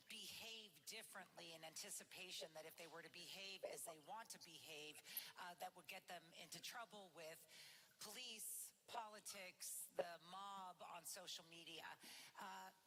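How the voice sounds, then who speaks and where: Low, bright-toned woman commentator. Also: long reverb tail, slight background noise. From Boston area. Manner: thoughtful, halting with many fillers. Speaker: regular guest commentator. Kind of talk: radio call-in discussion.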